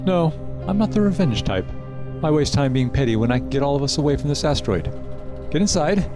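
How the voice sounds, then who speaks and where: Guy playing a sly smug audio drama villain, mocking, pitch swinging all over, music behind. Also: lively intonation, a little fast, natural voice. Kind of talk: full-cast audio drama acting.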